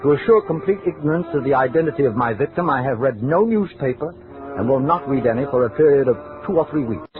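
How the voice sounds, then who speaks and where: A man's voice: medium pitch, expressive, quick pace, lightly acted. Man acting pedantic, haunted murderer, vintage radio. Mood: strained, controlled. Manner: pedantic, formal, self-important, clinical diction. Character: cold, precise male scholar-murderer, increasingly tormented, narrating his journal. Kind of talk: old-time radio drama monologue. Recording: vintage radio, narrow band, music behind.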